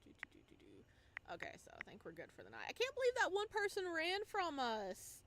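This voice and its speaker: A woman doing playful, expressive gameplay commentary, slipping between reading text aloud and exclaiming in singsong asides. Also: extremely high pitch, fairly quick, background noise. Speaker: game streamer.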